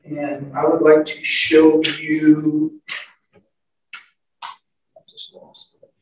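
A man speaking as a defense attorney in court, questioning formally and a little haltingly. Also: fairly high pitch, completely flat delivery, a little mellow. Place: courtroom, picked up from afar. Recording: very echoey courtroom, distant mic, faint noise.

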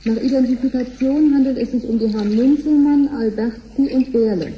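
An actress playing a formal, machine-like receptionist announcing visitors in a sci-fi radio drama. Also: female voice, high voice, brisk, echoey room, lo-fi sound, a little hiss or hum, lightly acted. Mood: impersonal.

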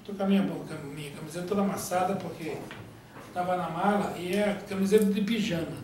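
Brazilian spiritist lecturer in his forties, teasing and conversational, fairly high voice caught from a distance in a very echoey room with audible hiss. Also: steady tone, fast talker. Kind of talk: informal Q&A with audience. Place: small room in a spiritist center. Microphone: far camera. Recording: echoey room, picked up from afar.

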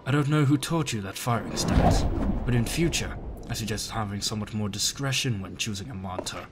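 A man's voice: on the low side, fairly quick, heavy background noise, natural voice. A man playing a stern military examiner, dry and formal, in a game fan dub.

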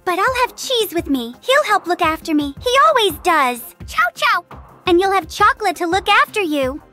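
A woman's voice: extremely high pitch, sing-song, moderate pace, bright, forceful tone, heavily acted. A woman playing a sweet, brave little girl rabbit, polite and pleading, in a comic dub. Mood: earnest, pleading. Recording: music behind the voice.

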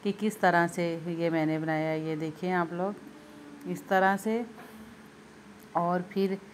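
A woman's voice: fairly low pitch, fairly even intonation, takes it slowly, a little hiss or hum. A woman demonstrating a recipe step by step in her home kitchen, speaking patiently to viewers and repeating her instructions. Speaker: home cooking video host.

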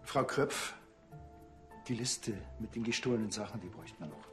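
A man's voice: lowish voice, animated, moderate pace, music in the background, close to an everyday voice. A man playing a laconic, wry small-town policeman, casual and colloquial, in a comic crime drama.

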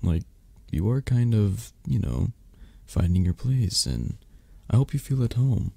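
Man acting low, gravelly, drowsy mafia boss lover. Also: very animated, dark tone, no room sound, heavily acted. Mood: tender, drowsy. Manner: slow, hesitant, murmured endearments with pauses. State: tired, drowsy. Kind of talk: intimate romantic ASMR roleplay. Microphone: close microphone.

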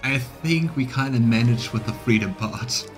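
A man's voice: medium pitch, moderate pace, faint music behind. A man in his thirties playing a game on stream, commenting and reading its dialogue aloud.

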